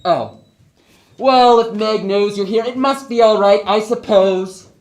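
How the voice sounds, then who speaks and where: A student actor playing a flustered, indignant latecomer in a stage play, blustering loudly. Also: medium pitch, unhurried, lightly acted. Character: flustered, indignant performer arriving late backstage. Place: theatre stage. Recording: echoey theatre stage recording.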